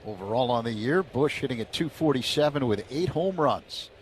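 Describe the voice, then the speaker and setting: A man calling baseball play-by-play on the radio in a steady, measured way. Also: mid-range voice, a little hiss or hum.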